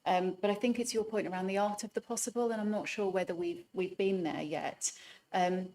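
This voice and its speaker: A soft-spoken woman making a formal statement at a public hearing, pausing often with hesitant ums. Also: medium pitch, steady tone, a little hiss or hum. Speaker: hearing participant.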